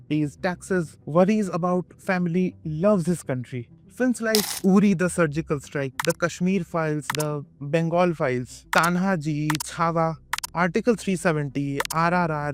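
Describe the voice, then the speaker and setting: A man with an Indian accent narrating a scripted opinion video essay, emphatic and rhetorical, with dramatic pauses and repetition. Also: on the high side. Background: background music.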